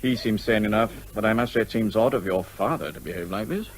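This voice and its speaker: An actor playing a brisk, sensible family doctor in a radio comedy drama. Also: male voice, on the low side, noisy background, lightly acted. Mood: brisk, puzzled. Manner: brisk, reasonable.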